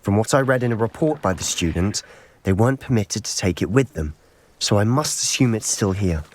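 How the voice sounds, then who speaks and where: A man's voice: fairly low pitch, animated, fairly quick. A man playing an earnest, driven young student in a video game, serious and intent. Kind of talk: video game character acting.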